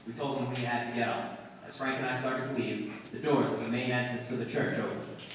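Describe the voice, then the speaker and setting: A man's voice: medium pitch, flat delivery, a little fast, lightly acted. A man playing a terse police detective, narrating in clipped, understated 1950s radio drama style. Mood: terse, deadpan. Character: terse, matter-of-fact male police detective narrating a case. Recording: echoey hall from far off, music behind. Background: music.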